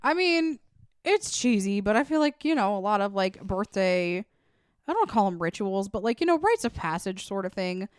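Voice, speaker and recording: A woman in her thirties co-hosting a comedy podcast, animated and supportive, sometimes mock-whiny. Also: medium pitch, normal speed, clear, resonant tone, dry recording, clean studio sound. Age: 30s. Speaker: podcast co-host. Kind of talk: casual comedy chat.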